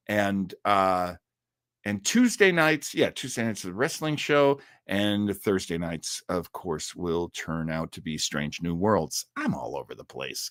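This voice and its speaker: A man hosts a casual livestream in a dry, close-sounding recording. His delivery is very animated, with big swings in pitch, yet unhurried. He rambles amiably between jokes and viewer comments, often breaking into laughter. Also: no echo. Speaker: host of a live online show.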